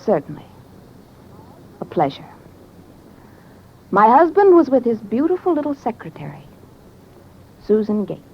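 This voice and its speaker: A woman's voice: a little high, sing-song, measured pace, long pauses, breathy edge, exaggerated voice. A woman playing a haughty, short-tempered rich widow in old-time radio acting style. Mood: icy. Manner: imperious, cutting. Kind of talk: 1940s-50s radio acting. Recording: dull, noisy old radio recording.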